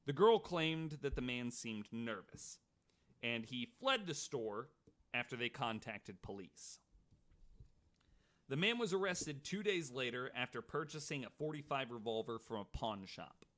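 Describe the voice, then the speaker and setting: A man's voice: medium pitch, animated, measured pace, fairly bright tone. A man hosting a podcast, reading prepared true-crime notes and dropping in casual asides. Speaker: podcast host.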